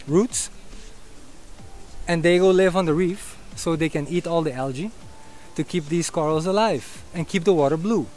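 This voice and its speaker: A man's voice: on the high side, darkish tone. A middle-aged man with a Curaçaoan accent explaining things informally and earnestly to camera as a local guide. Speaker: kayak guide and conservationist. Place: moving car and outdoor mangrove shore.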